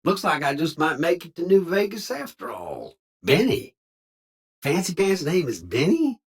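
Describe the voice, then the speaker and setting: Folksy robot cowboy drifter for a video game: husky Southern drawl, wildly swooping pitch, friendly with a sly edge. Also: male voice, very animated, a little slow, heavily acted. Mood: wry, friendly. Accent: American Southern cowboy drawl. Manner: folksy slang, theatrical swooping delivery. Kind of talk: video game character dialogue.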